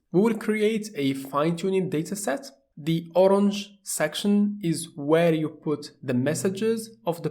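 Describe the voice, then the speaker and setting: A man from Morocco, narrating a tutorial course in a plain, steady explaining manner. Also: a little high. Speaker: course instructor.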